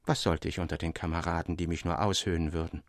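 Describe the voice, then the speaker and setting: A man's voice: sits low, steady tone, fast talker, no room sound, clean studio sound, pitched below the performer's own voice. A man voicing a dreamy, melancholy young sailor with a fervent, dramatic delivery in an audio story. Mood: weary disgust.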